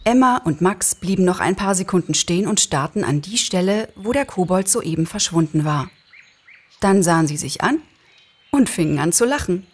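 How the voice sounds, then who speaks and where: A woman narrator reading a story aloud in an expressive, scripted style. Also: fairly low pitch, normal speed, noisy background.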